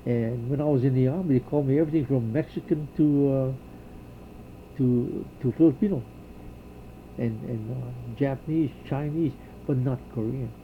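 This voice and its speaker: Gravelly older man recalling his past. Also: medium pitch, narrow, tinny audio, slight background noise. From Hawaii. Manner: halting, reflective, many pauses and restarts. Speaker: interviewee. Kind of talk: oral history interview.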